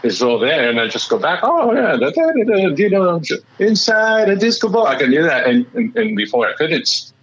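A man with a slightly raspy voice, a musician chatting excitedly in an interview and often restarting his sentences. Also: high-pitched, moderate pace, few pauses, dull audio. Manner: excitable, rambling with many restarts. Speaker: musician guest. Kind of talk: casual interview chat.

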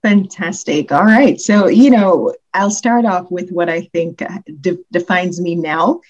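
A woman from Jamaica in her forties, a university executive telling her life story as a podcast guest in a measured, earnest way. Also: fairly low pitch, darkish tone, lo-fi sound. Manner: measured, earnest, slows down on key points. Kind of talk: reflective storytelling in an interview.

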